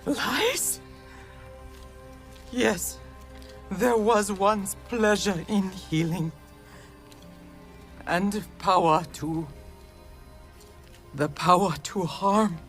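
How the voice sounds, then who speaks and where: An actress playing a reclusive, bitter female healer who speaks very slowly and broodingly. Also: mid-range voice, faint music behind. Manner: very slow, brooding. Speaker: clan spiritual leader.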